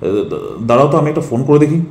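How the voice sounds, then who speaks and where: A man playing a concerned, bossy middle-aged father, speaking insistently in an animated family drama. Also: on the high side, rapid-fire, close to an everyday voice. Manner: insistent, repeats short commands. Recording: roomy echo with music behind.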